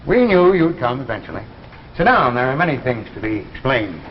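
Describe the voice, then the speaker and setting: An actor playing an assured, mysterious government scientist, speaking formally and with measured authority in 1950s sci-fi drama style. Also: male voice, mid-range voice, very animated, natural voice. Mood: assured, persuasive. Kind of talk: 1950s science fiction anthology acting. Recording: muffled, noisy, reverberant 1950s broadcast.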